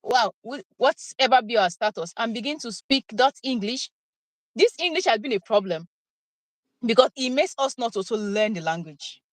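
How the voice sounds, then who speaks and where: Brief: young woman guest, higher voice, chatty and explanatory, dry sound. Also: lively intonation, moderate pace, dry recording, poor audio. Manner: chatty, explains with examples, frequent fillers. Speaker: interviewee, nursing graduate. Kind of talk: casual conversational Q&A.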